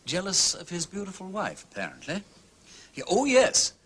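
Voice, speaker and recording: Bright, animated upper-class English sleuth. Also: male voice, high-pitched, normal speed, breathy edge, heavily acted. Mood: musing. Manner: theatrical, exclamatory, playful old-fashioned aristocratic phrasing. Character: jaunty aristocratic English gentleman sleuth, breezy and inquisitive. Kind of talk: period radio drama acting.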